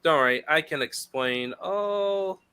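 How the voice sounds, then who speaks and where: A man's voice: a little high, expressive, takes it slowly, sharp tone, clean tone. A man in a casual group chat, hesitant with lots of ums. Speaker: podcast guest.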